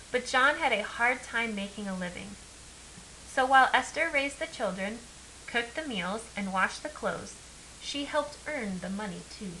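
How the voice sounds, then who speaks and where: A woman narrates a children's story expressively. Her voice is bright and forward, sitting a little high, with some hiss in the recording. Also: poor audio. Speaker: picture-book reader. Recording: clear background hiss.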